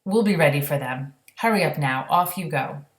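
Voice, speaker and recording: A woman's voice: deep voice, lively intonation, moderate pace. A woman puts on a regal, composed queen for a children's story. She is polite and commanding, in a roomy, echoey space.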